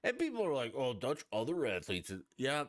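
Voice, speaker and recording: A man's voice: medium pitch, wildly swooping pitch, normal speed, no room sound, clean studio sound. A man hosting a podcast, talking alone in a blunt, profane, emphatic rant. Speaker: podcast host. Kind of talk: opinionated solo podcast rant.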